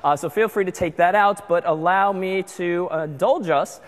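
A man's voice: high-pitched, normal speed. A man lecturing in a large hall, giving chatty announcements with frequent ums and uhs. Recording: long hall reverb.

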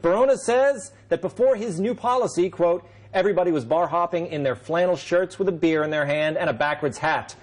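A man's voice: high voice, fairly quick, hardly any pauses. A middle-aged man hosting a late-night panel show in a TV studio, reading a wry, sarcastic monologue and then leading the banter. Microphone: lapel mic.

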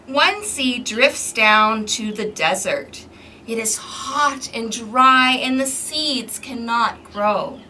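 A woman reading a picture book aloud to young children in an expressive, interactive way, recorded outdoors on a balcony. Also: fairly high pitch, slow. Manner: expressive, clearly paced, questioning as if to young children. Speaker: storytime host for a community service. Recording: distant mic with outdoor background noise.

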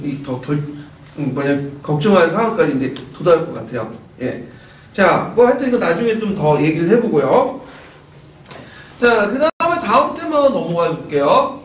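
A middle-aged man lecturing in a classroom in a chatty, animated way, his voice slightly hoarse. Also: sits high, far from the mic. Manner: animated, chatty, gestures while explaining. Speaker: lecturer for teacher-exam prep. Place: classroom with whiteboard. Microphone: far camera. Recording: roomy classroom from afar.

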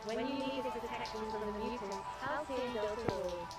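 High-voiced female propaganda announcer, played by a woman. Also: normal speed, soft background music, close to an everyday voice. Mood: assured. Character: earnest corporate propaganda announcer. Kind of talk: scripted advert narration.

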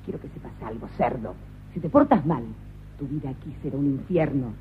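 A woman's voice: rumbling low, lively intonation, fairly quick, slight background noise. A cruel sadistic prison warden woman. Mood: domineering. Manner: domineering, contradictory, scornful. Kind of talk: comic radio play acting. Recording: tinny lo-fi radio recording.

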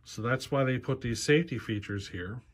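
A man's voice: medium pitch, normal speed. A man in his forties, a chainsaw channel host, explaining things casually in a practical, plain-spoken way with frequent ums and you-knows, recorded on a close handheld camera in a cluttered workshop. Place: cluttered workshop garage, indoors.

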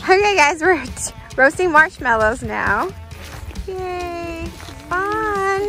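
Giggly mom vlogging, super high voice, slow and bouncy, music under it. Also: female voice, lively intonation. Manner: cheerful, giggly, cheering the kids on. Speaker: mother filming a family vlog. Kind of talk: casual family vlog narration.

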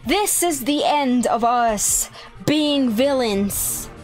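A young woman hosting a gaming video, narrating and chatting through a roleplay in an exclamatory, animated way. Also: sits high, extremely slow, soft background music. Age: young adult. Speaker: YouTube gaming host.

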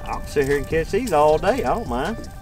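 A young man with a drawl, casually rambling about bass fishing from his boat on a lake. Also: sing-song, moderate pace, faint music behind. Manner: drawling, rambling, talks to the fish. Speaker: fishing channel host. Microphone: camera mounted on the boat.

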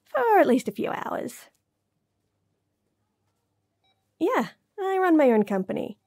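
Female actor as smitten, flustered young bunny girl, romantic roleplay. Warm, relaxed, slightly high voice; stammering, bashful rambling. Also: moderate pace, creaky, exaggerated voice. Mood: shy, eager. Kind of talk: romantic audio roleplay acting.

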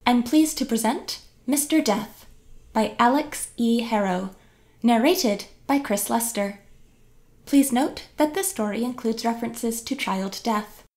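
A woman's voice: on the high side, unhurried, darkish tone. A woman giving a scripted podcast introduction as producer and host.

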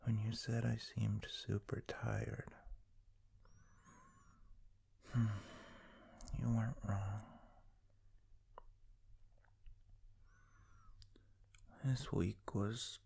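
A man playing a sleepy, tender, devoted boyfriend in an ASMR roleplay, murmuring intimately in a breathy, often whispered voice. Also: on the low side, steady tone, warm tone, heavily acted. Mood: drowsy, grateful. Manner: slow, drowsy, intimate murmuring with long pauses. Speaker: roleplay voice performer.

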